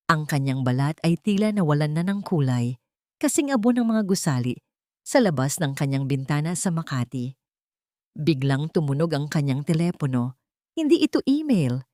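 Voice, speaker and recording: A woman's voice: low-pitched, a little mellow, dry recording, studio quality. A woman reading a dramatic scripted story as an audio drama narrator.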